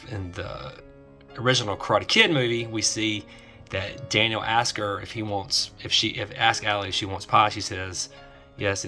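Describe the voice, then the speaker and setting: A man hosting an informal explainer commentary, halting as he repeats and restarts his phrases, with music behind him. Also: fairly low pitch, bright, forceful tone. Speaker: host of an eighties film nostalgia series.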